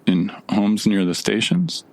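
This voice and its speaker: A man's voice: lowish voice, very animated, measured pace, gravelly, darkish tone, full character voice. A man playing a bewildered traveler from another world in an audio drama, questioning, hesitant and wry. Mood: puzzled, wry.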